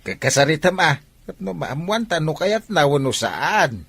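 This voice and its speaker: A man's voice: fairly high pitch, sing-song, normal speed, vocal fry, fairly bright tone, breathy edge, full character voice. A Filipino actor playing an older father, a hesitant, conspiring advisor in a radio soap opera. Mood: doubtful.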